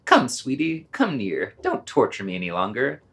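A man's voice: medium pitch, huge pitch swings, moderate pace, creaky, fairly bright tone, heavily acted. A man playing a self-righteous, indignant scientist master who scolds pompously in an amateur audio play. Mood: coaxing. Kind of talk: amateur radio play reading.

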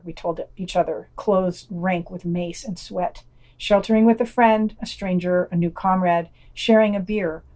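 A woman's voice: fairly low pitch, a little mellow. A woman hosting a podcast, reading scripted news summaries and quoted articles aloud. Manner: reads quotations aloud, announcing quote and unquote.

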